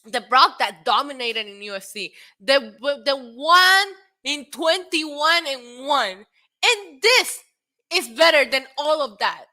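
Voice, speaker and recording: A woman in her late thirties hosting a casual wrestling live stream, excitable and a little tipsy, laughing, swearing and dropping in Spanish phrases as she answers chat. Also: high-pitched, slow. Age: 30s. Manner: frequent laughter and profanity. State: tipsy from drinks. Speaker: wrestling show host.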